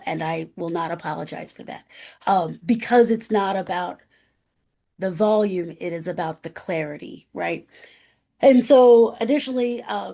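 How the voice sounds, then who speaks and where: Loud-voiced woman professor talking emphatically, keeps asking right?. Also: medium pitch. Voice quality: loud carrying voice. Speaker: guest speaker. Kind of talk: conversational presentation.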